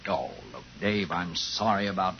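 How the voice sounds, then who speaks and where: An American actor playing a plain-spoken, sympathetic police lieutenant in a 1950 radio crime drama. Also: male voice, low-pitched, animated, normal speed, slight background noise. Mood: subdued, sympathetic. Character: police lieutenant, sympathetic old friend of detective. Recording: muffled vintage 1950 radio recording.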